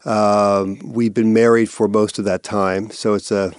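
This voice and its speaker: A man with a fairly low voice speaks in a thoughtful, searching way, restarting phrases as he explains his work. He answers interview questions, and the recording is close and dry with no room sound. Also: level tone, measured pace, clean tone, dry recording. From Massachusetts. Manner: thoughtful, searching with repeated words and fillers. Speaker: artistic director of an arts institute.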